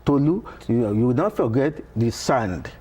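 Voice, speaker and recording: A middle-aged Nigerian man, a Yoruba-speaking professor, explaining patiently in a TV interview with frequent pauses. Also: medium pitch, expressive, a little mellow, slight background noise. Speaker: professor, guest. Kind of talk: interview conversation. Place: television studio.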